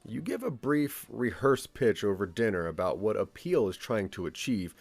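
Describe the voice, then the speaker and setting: A man narrating a scripted second-person audio drama as a measured, dramatic storyteller. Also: lowish voice, very animated, vocal fry, a little mellow, no room sound.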